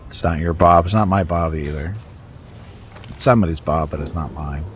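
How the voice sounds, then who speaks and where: Low-voiced man streaming with a head cold. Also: normal speed, slight background noise. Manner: chatty, wry, talks to viewers in chat. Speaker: streamer playing a video game. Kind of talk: casual livestream chat and reading game text aloud.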